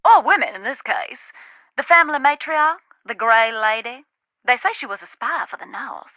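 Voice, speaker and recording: A woman's voice: fairly high pitch, lively intonation, moderate pace, sharp tone, dry recording, muffled recording. A woman playing a folksy Southern spirit medium in a video game, telling her stories emphatically in a Southern US accent. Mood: frank, ominous. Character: haunted Southern woman, a warm, wary ghost-hunting spirit medium. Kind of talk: dramatic video game dialogue.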